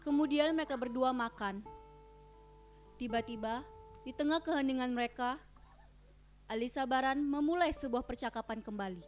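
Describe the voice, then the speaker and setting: A woman's voice: high voice, moderate pace, pauses often, soft background music. A woman narrator reading scripted stage directions as dramatic storytelling.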